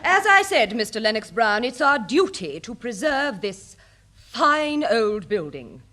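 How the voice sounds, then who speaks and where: An earnest English lady campaigner in a radio sitcom. She is gushing and fluttery, very high in pitch and unhurried, with huge swoops. There is a faint hiss on the old recording. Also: sing-song, pushed and bright, full character voice. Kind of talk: British radio sitcom acting.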